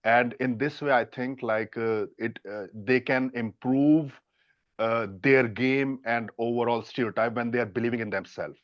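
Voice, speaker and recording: A man on a dating coach panel, speaking haltingly with many fillers and restarts. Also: mid-range voice, no room sound, lo-fi sound. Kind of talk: casual panel discussion.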